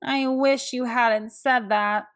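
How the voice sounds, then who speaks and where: A self-conscious young schoolgirl from a children's storybook, acted by a woman. Her voice is high and childlike, with emphatic phrasing. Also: steady tone, takes it slowly. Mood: miserable. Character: self-conscious young schoolgirl, worried about others' opinions.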